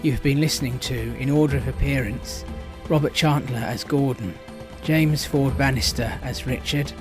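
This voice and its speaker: A man's voice: medium pitch, normal speed, music in the background. A man reading out scripted cast credits as an announcer for a radio drama.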